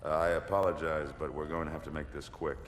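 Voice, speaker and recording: An actor playing a dignified, courteous senior military officer giving a formal ceremonial address in a game. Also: male voice, rumbling low, little pitch movement, quick pace, a little hiss or hum. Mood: formal, composed. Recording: echoey hall, music behind.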